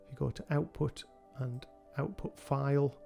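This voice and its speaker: A man with a cold hosting a relaxed software tutorial, talking through each step with frequent ums. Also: mid-range voice, moderate pace, creaky, faint music behind. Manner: relaxed, thinking aloud with many ums. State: has a cold. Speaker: game developer tutorial host. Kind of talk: screen-recorded software tutorial.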